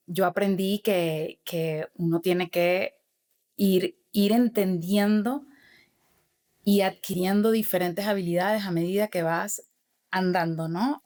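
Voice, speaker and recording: Middle-aged female guest, lowish voice, earnest and reflective, peppers her talk with fillers. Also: darkish tone. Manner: earnest, many fillers and tag questions. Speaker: podcast guest, author and former corporate manager. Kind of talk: reflective interview answers.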